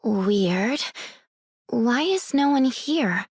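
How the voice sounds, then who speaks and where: A woman's voice: on the high side, animated, very slow, background noise, full character voice. A woman voicing a guarded, wearily blunt young woman in an anime game. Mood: wary.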